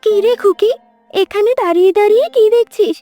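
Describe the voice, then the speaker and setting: A woman's voice: extremely high pitch, fairly quick, mellow, no room sound, faint music behind. A woman voicing a mean, stingy, scornful aunt in a cartoon fairy tale.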